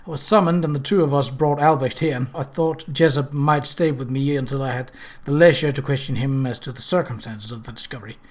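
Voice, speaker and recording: A man's voice: mid-range voice, steady tone, fairly quick, hardly any pauses, close to an everyday voice. A man voicing a vigilant male police officer in a period storybook, speaking evenly and in a methodical, matter-of-fact way. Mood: firm.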